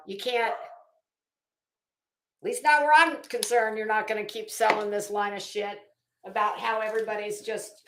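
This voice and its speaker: A woman ranting casually as an online host, blunt and sarcastic, swearing freely and halting to stretch words for emphasis. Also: medium pitch, fairly bright tone. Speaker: YouTube host. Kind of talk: casual livestream rant. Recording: echoey room.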